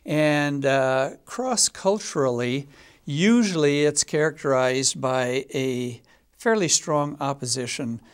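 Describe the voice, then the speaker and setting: A man in his seventies hosting a local TV panel discussion, speaking haltingly with frequent pauses and fillers. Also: medium pitch, clear, resonant tone. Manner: hesitant, many filler pauses. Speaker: talk show host.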